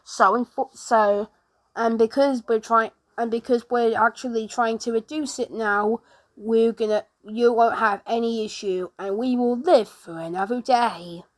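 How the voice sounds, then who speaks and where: Casting: female narrator for an amateur emergency-alert spoof, fairly high voice, stumbling delivery with restarts. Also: fairly even intonation. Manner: halting, often restarts sentences. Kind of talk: homemade mock emergency broadcast narration.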